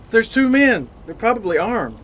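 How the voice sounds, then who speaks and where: A man's voice: high-pitched, big pitch swings, unhurried, fairly bright tone, a little hiss or hum, full character voice. An actor playing an earnest, jaded young ad man who narrates his misadventures in old-time radio drama style. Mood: hesitant, worried.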